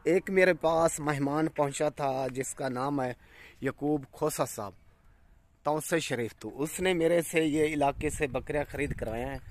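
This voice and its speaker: A man's voice: fairly high pitch, a little slow. Goat farm owner, 30s, salesman's patter, phone camera recording outdoors. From Pakistan. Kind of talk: casual vlog narration. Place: outdoors on a farm, village road.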